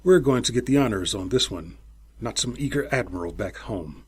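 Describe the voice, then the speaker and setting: An old space station captain, acted out by a male narrator, sly and eager. His husky voice snaps and commands, swinging widely in pitch as he savors each phrase in this audiobook tale. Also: expressive, normal speed. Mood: commanding, eager. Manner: snapping, commanding, relishing his words. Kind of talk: audiobook character voice.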